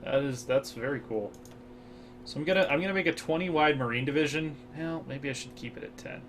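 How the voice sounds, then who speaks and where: A man's voice: a little high, a little fast, noisy background. A man with an American accent hosting a strategy game playthrough, talking it through casually with many fillers. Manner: thinks aloud with fillers. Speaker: gaming channel host.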